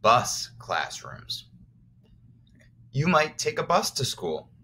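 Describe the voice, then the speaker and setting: A man's voice: mid-range voice, huge pitch swings, takes it slowly, sharp tone, slight background noise. A man teaching first graders, reading aloud expressively and encouragingly, with simple explanatory asides for young children.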